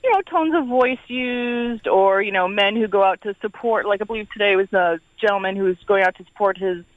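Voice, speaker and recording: A woman's voice: mid-range voice, brisk, dry recording. A woman calls into a radio show over a tinny phone line. She explains her concern earnestly and hesitantly. The sound is dry and thin. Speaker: radio caller.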